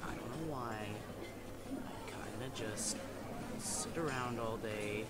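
A man playing a foul-mouthed, embarrassed young man in an acted comedy monologue, halting and defensive with his friend. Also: mid-range voice, very noisy, close to an everyday voice. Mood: sheepish confession. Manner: halting, defensive, profane, swinging between mumbling and outbursts.

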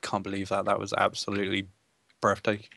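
A young man co-hosting a gaming video, chatting casually in a playful, excitable way. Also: lowish voice, a little fast, vocal fry, no room sound, clean studio sound. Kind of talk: casual gameplay chat.

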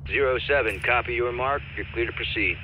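An actor playing a confident American mercenary commander, giving terse military orders in a game. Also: male voice, mid-range voice, fairly quick, muffled recording, a little hiss or hum, close to an everyday voice. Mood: cool, authoritative. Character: confident American male mercenary commander directing air support. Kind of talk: military game radio dialogue.

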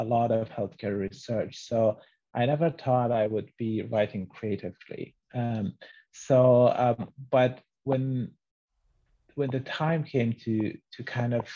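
A middle-aged man from Afghanistan, a native Farsi speaker, soft-spoken and halting as he gives reflective interview answers. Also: on the low side, husky. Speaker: interview guest, children's author. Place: small book-lined room at home. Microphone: video call, computer microphone. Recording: muffled, band-limited video call audio.

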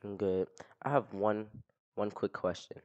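A man's voice: on the low side, measured pace, a little mellow, dull audio. A young man chatting with strangers on a video site through a headset mic, blunt and teasing, with crude insults. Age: young adult. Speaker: streamer chatting with strangers online. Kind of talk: casual video chat commentary. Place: small living room indoors.

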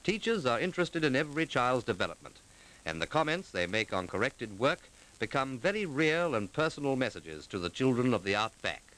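A man delivering formal, measured scripted narration in the manner of a 1940s documentary, clearly enunciated. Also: medium pitch, big pitch swings, fairly bright tone, poor audio. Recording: vintage 1940s film soundtrack.

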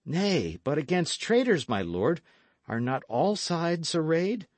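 A man voicing a bold, honest and courteous young gentleman of old England in an audiobook, speaking earnestly and formally. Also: mid-range voice, expressive, a little slow, no echo, voice pushed up, natural voice. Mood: earnest protest. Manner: earnest, formal archaic speech.

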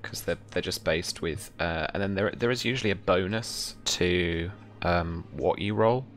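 A man's voice: low-pitched, measured pace, fairly bright tone. A young man working as game master, casually giving rulings and narrating the scene in an easygoing, informal way. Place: indoor room at home, video call.

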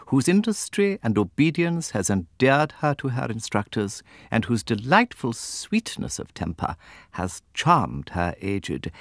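A man's voice: lowish voice, fairly bright tone, no echo, heavily acted. Guy doing a cold pompous old headmistress from an audiobook novel, very formal and bossy, dry sound. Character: stately, cold, pompous older headmistress of a girls' school. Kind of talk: audiobook character acting.

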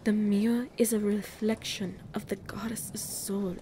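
A woman's voice: mid-range voice, flat delivery, a little slow, warm tone, slight background noise, natural voice. A woman playing a grave, mystical female ruler in an audio roleplay, speaking formally and breathily as she pleads for her people. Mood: earnest warning.

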